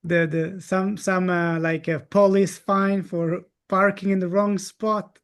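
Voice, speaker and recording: A man's voice: high voice, level tone, takes it slowly, smooth. A man hosting a live webinar, upbeat and chuckling as he asks guests questions over a video call. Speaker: host.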